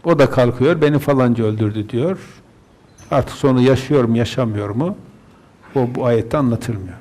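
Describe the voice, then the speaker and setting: A man's voice: mid-range voice, moderate pace, a little mellow. A man, a religious scholar, reciting scripture verses and then explaining them in plain terms.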